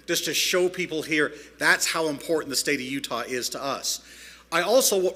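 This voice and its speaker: A head football coach, a man, earnestly laying out his recruiting plans at a signing-day press conference. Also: on the high side. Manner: earnest, steady, lists goals.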